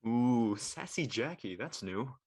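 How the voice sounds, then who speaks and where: Woman acting cocky college bro, very deep for a woman, swooping intonation, slangy, teasing, audio drama. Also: expressive, slow pace, darkish tone, slightly rough, exaggerated voice. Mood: needling. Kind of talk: acted audio drama dialogue.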